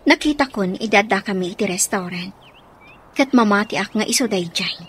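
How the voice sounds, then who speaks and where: A woman's voice: mid-range voice, normal speed, fairly bright tone, breathy edge. A woman playing a dutiful mother and wronged wife in a radio drama, speaking with an Ilocano accent. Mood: sorrowful, wistful.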